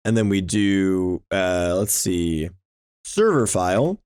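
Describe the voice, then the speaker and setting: A man's voice: deep voice, sing-song, takes it slowly, darkish tone, smooth, no room sound, clean studio sound. A man giving casual, exclamation-filled gameplay commentary, often talking to himself as he plays. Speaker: gaming YouTuber hosting a Minecraft series.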